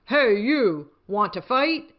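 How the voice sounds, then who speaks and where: A woman's voice: a little high, animated, slow, highs cut off, pitched above the performer's own voice. A woman voicing a grouchy, bossy male ladybug who is itching for a fight, brash and challenging, in a storybook reading.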